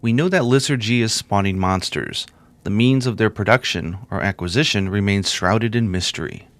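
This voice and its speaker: A man hosting a let's play, chatting casually with plenty of ums and uhs, his voice raspy and deep in the morning. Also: moderate pace, a bit bright, no echo. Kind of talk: casual gameplay commentary.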